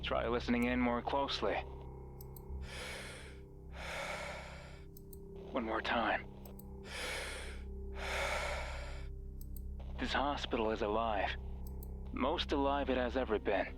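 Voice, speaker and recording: A man voicing a mysterious, manipulative horror game figure who speaks like a menacing false friend, taunting and deliberate. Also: medium pitch, fairly even intonation, natural voice. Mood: ominous. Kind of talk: dramatic horror game voice acting. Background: background music.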